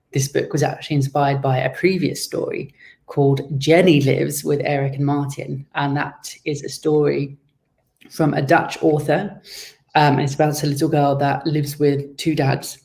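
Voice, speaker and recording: A man giving conversational interview answers as a guest author, explaining things in a measured way. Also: on the high side, gravelly, a little mellow.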